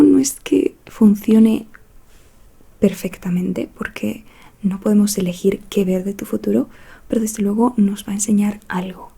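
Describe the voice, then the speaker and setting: A woman from playing a gentle, mysterious fortune-telling witch in an ASMR roleplay, speaking in a soft, soothing whisper. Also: mid-range voice, gravelly, slightly rough, heavily acted. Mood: soothing, confiding. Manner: slow, soothing, confiding.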